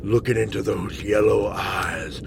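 An actor playing an obsessed, reckless monster hunter in an audio drama, intense and swinging from hushed to shouting. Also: male voice, fairly low pitch, steady tone, unhurried, gravelly, noisy background. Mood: haunted resolve.

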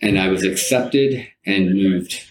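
A middle-aged man speaks in a very low voice. He tells personal stories in a casual, self-deprecating way, often quoting remembered exchanges as a guest in an interview. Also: takes it slowly, rough recording.

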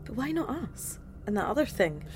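A woman voicing an anxious, sarcastic young British abductee who feels sick and on edge. A clear music score runs under her lines in this audio drama. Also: a little high, very animated, moderate pace.

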